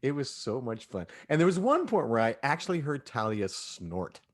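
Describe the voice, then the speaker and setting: A man speaking as an audio drama director in a casual cast discussion, telling things in an animated way. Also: medium pitch, normal speed.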